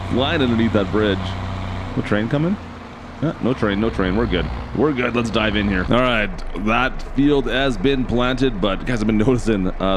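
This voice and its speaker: A man with a hoarse, raspy voice casually commenting as he plays a farming game, thinking aloud and talking to his viewers. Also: sits low, slight background noise. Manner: chatty, thinks aloud, addresses viewers as guys. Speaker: game channel host.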